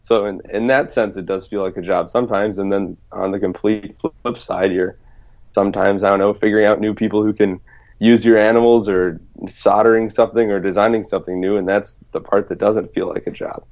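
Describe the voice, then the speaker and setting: A man speaking as a startup co-founder on an interview show, relaxed and rambling with many fillers. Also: on the low side, brisk. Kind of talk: casual interview answers. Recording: dull lo-fi sound.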